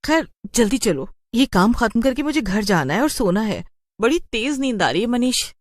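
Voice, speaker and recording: A woman's voice: on the high side, big pitch swings, normal speed, no echo, clean studio sound, pitched above the performer's own voice. A woman voicing an anxious, complaining young working wife in a cartoon story. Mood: complaining, weary. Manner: exclaiming, fretful. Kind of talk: animated cartoon story voice acting.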